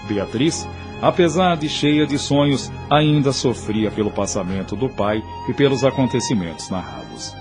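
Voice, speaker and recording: A man's voice: mid-range voice, lively intonation, moderate pace. A man with a Brazilian accent reads formal, solemn, declamatory narration for an audio soap opera. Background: prominent music.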